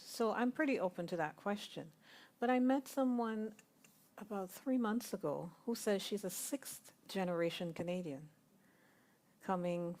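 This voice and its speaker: Woman from Guyana with a lowish voice. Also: a little mellow. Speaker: congregation member sharing. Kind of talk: reflective sharing in a discussion circle. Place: spiritual centre gathering.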